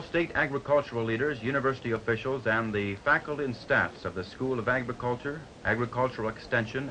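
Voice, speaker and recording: An American man narrating a 1950s newsreel from a script, in a formal, measured announcer's delivery. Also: mid-range voice, low-quality recording. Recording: 1950s newsreel soundtrack with faint noise.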